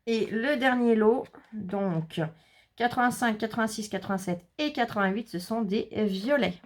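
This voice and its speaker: A woman's voice: fairly high pitch, measured pace. A woman vlogging a scrapbooking haul, chatting casually as she reads out color names and numbers and jokes about herself. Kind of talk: casual haul video, showing products. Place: indoors, shutters closed against the heat.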